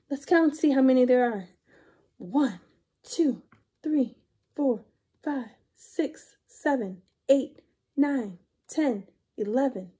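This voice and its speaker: A woman's voice: sits high, warm tone. A woman in her thirties reading a picture book aloud to young children, expressively, with questions and counting along. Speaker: storytime reader.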